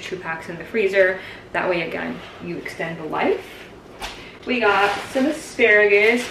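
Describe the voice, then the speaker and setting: Chatty young woman in an echoey kitchen. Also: on the low side. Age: young adult. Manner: chatty, explains as she goes, moves around the kitchen. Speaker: vlogger and resident doctor. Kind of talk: casual grocery haul chat. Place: home kitchen, indoors. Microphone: camera on the counter, at times a few steps away. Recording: echoey kitchen, mic at a distance, background noise.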